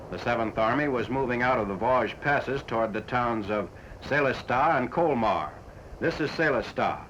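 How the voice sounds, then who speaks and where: Male narrator for a 1940s war newsreel, factual and formal, on a lo-fi, slightly noisy vintage soundtrack. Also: mid-range voice. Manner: formal, factual, measured delivery.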